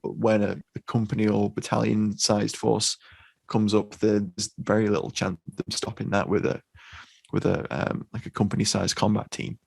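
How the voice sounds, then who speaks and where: Brief: male podcast host, low gravelly voice, dry close sound, chatty and hesitant. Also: little pitch movement, moderate pace, darkish tone, dry recording. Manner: conversational, hesitant, uses fillers like "you know". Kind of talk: casual podcast discussion.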